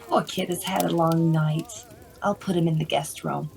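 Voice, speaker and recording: A woman's voice: low-pitched, steady tone, moderate pace, vocal fry, darkish tone, soft background music. A woman playing a kindly, practical cafe waitress in a radio play. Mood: kindly, brisk.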